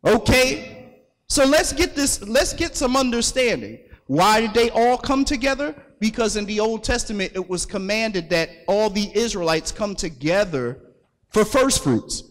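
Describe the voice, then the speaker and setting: A man delivers a sermon with a notably high voice, pausing to have scripture read aloud and echoing the lines back to the gathering. The sound carries a long reverb from a large hall. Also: lively intonation. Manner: calls for readings and repeats the verses back to the congregation. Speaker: preacher.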